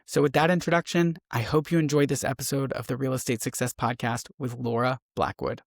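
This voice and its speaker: A man's voice: mid-range voice, fairly even intonation, brisk, creaky, dry recording, clean studio sound. A man hosting a podcast, reading a scripted introduction and then chatting enthusiastically with his guest. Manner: upbeat, enthusiastic interviewer. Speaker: podcast host.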